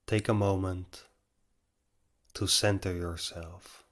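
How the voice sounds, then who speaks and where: Very slow, low-voiced man guiding meditation. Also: fairly even intonation, a bit bright. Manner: measured, instructive, short phrases with long pauses. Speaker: meditation guide. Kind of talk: guided meditation.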